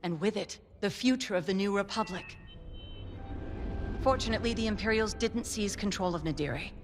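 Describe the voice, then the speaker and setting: An actress playing a commander giving a measured, resolute briefing to her pilots. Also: mid-range voice, background noise, lightly acted. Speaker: military commander. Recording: reverberant hall with music.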